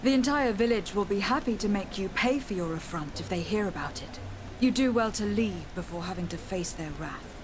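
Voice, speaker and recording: Woman as poised noble envoy for fantasy game; formal, mid-pitched, noisy ambience behind. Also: lively intonation, a little fast, close to an everyday voice. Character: composed, diplomatic female noble envoy. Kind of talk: scripted game dialogue acting.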